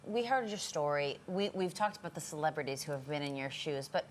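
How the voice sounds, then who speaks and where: A woman's voice: low-pitched, expressive, a little fast, a little hiss or hum. A woman hosting a TV talk show in a studio, bubbly and enthusiastic. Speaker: TV show host.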